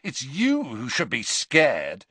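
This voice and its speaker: Brief: man putting on a scared, defiant young wizard, tense and vehement, pitched up and pushy, dry close sound. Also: wildly swooping pitch, slow pace, sharp tone, dry recording, exaggerated voice. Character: defiant, frightened teenage boy wizard.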